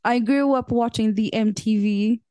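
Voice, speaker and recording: A woman's voice: fairly high pitch, normal speed, darkish tone, dry recording. A woman from Portugal who hosts the interview, asking friendly questions and stopping often for fillers. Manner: friendly, asks several questions in a row, hesitant fillers. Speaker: interviewer, host. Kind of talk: casual interview chat.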